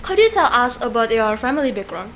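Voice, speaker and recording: A woman's voice: fairly high pitch, big pitch swings, quick pace, a bit bright, slight background noise. A teenage girl, hosting a student podcast in English and asking careful, polite questions. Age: teens.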